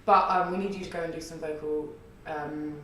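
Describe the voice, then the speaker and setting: A young woman with a husky, low voice, chatting as a podcast guest and rambling through stories with frequent laughs. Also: steady tone, a little fast, fairly bright tone. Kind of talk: casual interview chat. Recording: echoey room, distant mic, faint noise.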